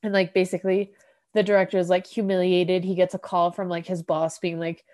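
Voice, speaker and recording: A woman's voice: on the low side, flat delivery, brisk, darkish tone. A woman podcast host casually recapping a TV episode, rambling with lots of "like" fillers. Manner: rambling, heavy use of "like", laughs often.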